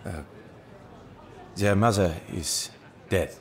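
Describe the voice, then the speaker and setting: A man's voice: deep voice, slow. An actor playing a secretive, troubled French father with a hesitant manner in a radio drama. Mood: guarded.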